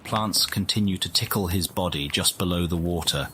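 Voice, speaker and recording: A man performing a scripted nature-documentary narration in a game, with a solemn, measured, dramatic delivery. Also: low voice, pushed and bright, a little hiss or hum. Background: music.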